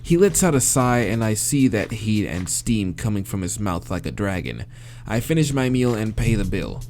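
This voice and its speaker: A man's voice: lowish voice, very animated, moderate pace, a little mellow. A man reading a visual novel aloud, breaking off to swear and laugh, with game music behind. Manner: swears and laughs between read lines. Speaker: let's play reader.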